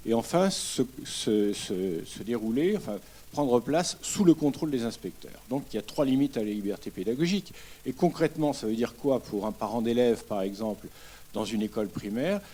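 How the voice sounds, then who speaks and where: Man in the audience at a debate; mellow tone, mid pitch, measured, hesitant delivery. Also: lively intonation. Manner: measured, explanatory, hesitant with frequent fillers. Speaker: audience member at a public debate. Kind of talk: public question from the floor.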